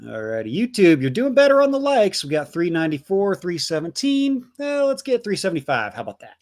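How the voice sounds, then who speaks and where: A man's voice: high-pitched, wildly swooping pitch, fairly quick. A man hosting a casual livestream, reading out viewer donations and messages in a chatty, swearing manner.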